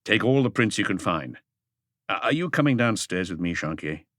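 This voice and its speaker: A man reading the part of a calm, authoritative police chief inspector in an audiobook, measured and matter-of-fact. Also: fairly low pitch, dry recording, studio quality. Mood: businesslike.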